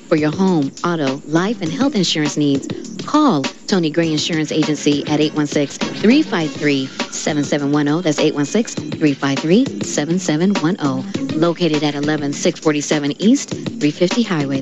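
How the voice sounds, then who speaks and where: An American woman working as a radio DJ, announcing between songs. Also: low-pitched. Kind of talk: radio DJ announcing over music. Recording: dull radio aircheck over loud music. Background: music.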